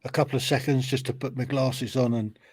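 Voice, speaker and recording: A man's voice: medium pitch, steady tone, brisk, a little mellow, husky. A man hosting a podcast interview, easygoing and laughing along with his guest. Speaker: podcast host. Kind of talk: casual interview chat.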